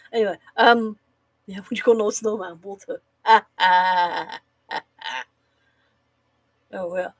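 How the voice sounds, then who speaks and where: A woman's voice: medium pitch, fairly even intonation, slow pace, gravelly, pauses often, breathy edge, no room sound. A woman with a slightly nasal voice from a cold, casually live-streaming. She tells corny jokes, giggles at them and swears now and then. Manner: giggles at her own jokes, swears casually, groans at bad puns.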